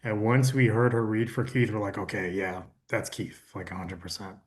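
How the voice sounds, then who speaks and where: A man's voice: lowish voice, little pitch movement, normal speed. A man from New York, an audiobook producer, talking casually about his work in a panel conversation.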